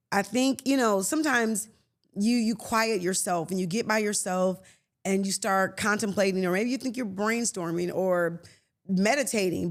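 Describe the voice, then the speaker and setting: A woman in her late fifties hosting a faith podcast, talking earnestly and conversationally with soft laughs and restarts. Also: medium pitch. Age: 50s. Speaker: podcast host. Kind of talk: reflective faith podcast monologue.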